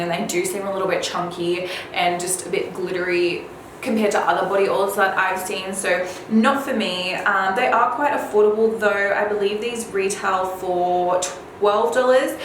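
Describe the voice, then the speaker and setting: A woman beauty vlogger chatting casually and informally to viewers, her voice slightly raspy from a cold. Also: mid-range voice, a bit bright. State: slightly under the weather with a cold. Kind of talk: casual chat to viewers about product releases. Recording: very echoey room, faint background noise.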